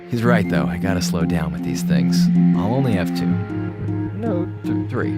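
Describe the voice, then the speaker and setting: Wry American space officer, music behind. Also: male voice, low-pitched, huge pitch swings, unhurried, exaggerated voice. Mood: gleeful. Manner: theatrical, sarcastic, rambling. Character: wry, chatty male space station communications officer. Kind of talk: radio drama audio log monologue.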